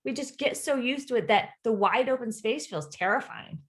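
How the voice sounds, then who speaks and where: A woman's voice: on the high side, animated, normal speed. A woman co-hosting a podcast, talking casually about faith with an emphatic, exclamatory delivery. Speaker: podcast co-host. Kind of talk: casual devotional discussion.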